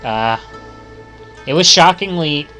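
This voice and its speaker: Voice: medium pitch, lively intonation, takes it slowly, bright, forceful tone, faint music behind. A streamer reading visual novel text aloud and adding casual commentary.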